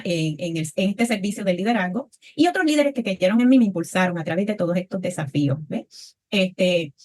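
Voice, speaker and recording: Woman, Puerto Rican accent, panelist, reflective, fairly high pitch. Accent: Puerto Rican Spanish. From Puerto Rico. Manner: reflective, frequent tag questions. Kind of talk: panel discussion answer.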